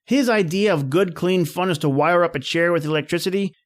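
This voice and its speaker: A man's voice: high voice, brisk, lightly acted. A man playing a grumbling, slangy young fiancé in an old-time radio drama, with a wry, exasperated manner. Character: grumbling wisecracking young fiancé dreading his boss-lady's party. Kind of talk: 1930s radio drama acting.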